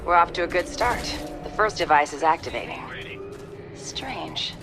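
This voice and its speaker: A woman's voice: fairly low pitch, lively intonation, moderate pace, gravelly, over loud music. An actress playing a cool, guarded female soldier in a video game, terse and controlled with a dry, determined manner. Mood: focused, wry.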